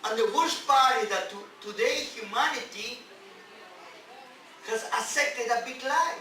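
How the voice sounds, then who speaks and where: A man who is a non-native English speaker, a rabbi giving an emphatic sermon in halting phrases with repeats and rhetorical questions. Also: very high-pitched, pushed and bright, a faint crowd. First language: not English. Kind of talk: sermon on scripture. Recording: distant, very echoey, noisy room recording.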